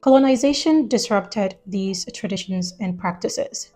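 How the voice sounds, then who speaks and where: A woman from Ghana talks through her topic in a measured, explanatory way, as in a video essay. Her voice sits at an ordinary middle pitch, and soft music plays faintly beneath her. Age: adult, lived years in the US.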